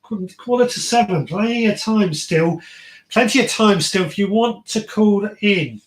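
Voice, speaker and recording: A man with a slightly high voice hosts a relaxed gardening phone-in. He is agreeable and hesitant, doubling back on words. The sound is roomy and echoing, as in a shed. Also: measured pace. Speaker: podcast host taking phone-ins. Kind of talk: casual gardening chat.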